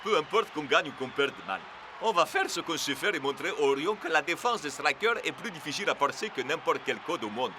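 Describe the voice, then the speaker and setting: A man's voice: fairly high pitch, lively intonation, brisk, background noise, close to an everyday voice. A man playing an encouraging, confident soccer team leader in a French-dubbed cartoon, rallying others in casual slang.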